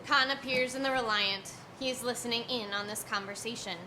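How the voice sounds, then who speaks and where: Female stage-directions narrator, slightly high, crisp bright tone, live scripted reading. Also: steady tone, brisk, rough recording. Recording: live stage recording with clear noise.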